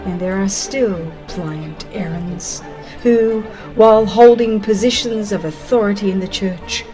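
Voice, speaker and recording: A woman reading a dramatized religious narration in a solemn, expressive, deliberate way. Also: mid-range voice. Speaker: audiobook narrator. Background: music.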